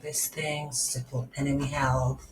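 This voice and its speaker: A woman giving an unscripted screen-recorded coding tutorial, thinking aloud in halting short phrases. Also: rumbling low, level tone. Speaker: tutorial instructor. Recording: roomy echo, audible background noise.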